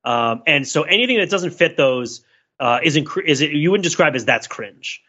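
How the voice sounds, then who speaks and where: A man, a podcast co-host talking casually and analytically, explaining his points in a wordy, halting way. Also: fairly high pitch, animated, pushed and bright, lo-fi sound.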